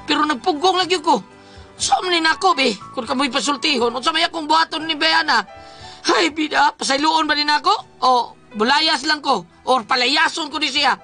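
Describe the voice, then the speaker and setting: A man's voice: very high voice, sharp tone, exaggerated voice. A man playing a distressed, suspicious husband in a melodramatic comic radio drama, exclaiming and complaining with a Cebuano accent.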